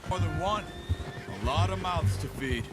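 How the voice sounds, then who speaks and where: A man voicing a calm, self-reliant American outlaw of the Old West in a video game, terse and understated. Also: on the high side, normal speed, natural voice. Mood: guarded, curious. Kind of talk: video game character dialogue. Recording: game audio under loud music. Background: loud music.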